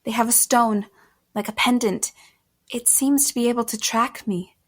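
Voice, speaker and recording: Woman acting Elise, a high-voiced, frightened fugitive. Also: fairly even intonation, moderate pace, breathy edge. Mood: anxious, earnest. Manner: earnest, hesitant, pleading. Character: Elise, frightened, bewildered young woman on the run. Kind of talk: audio drama acting.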